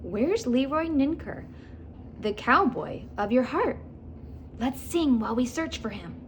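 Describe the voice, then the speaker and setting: A woman's voice: high voice, lively intonation, a little slow, a little hiss or hum, voice pushed up. A woman voicing an eager, sweet little girl in a storybook, exclaiming and asking curious questions. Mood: surprised, curious.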